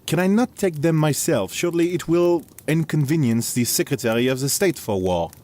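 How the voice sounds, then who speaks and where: A man playing a dutiful French guard in an audio drama, deferential and halting in manner. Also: a little high, expressive, darkish tone, natural voice. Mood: deferential, unsure.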